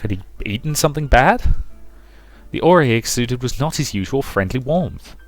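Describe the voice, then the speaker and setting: A man's voice: mid-range voice, animated, normal speed, no echo. A man reading a visual novel's story narration aloud as a let's play narrator. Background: music.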